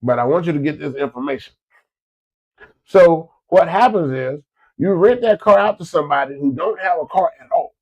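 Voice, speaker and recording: A man's voice: on the high side, normal speed, a little mellow, muffled recording. A man, stuffed up from a cold, giving folksy business advice to his listeners in a casual, repetitive way. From Florida. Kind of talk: casual motivational talk to listeners.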